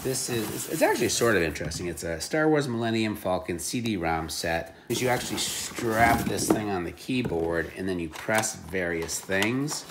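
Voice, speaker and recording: A man's voice: lowish voice, lively intonation, moderate pace, hardly any pauses, reverberant, background noise. A man showing secondhand finds in casual, offhand chat with a wry, joking manner.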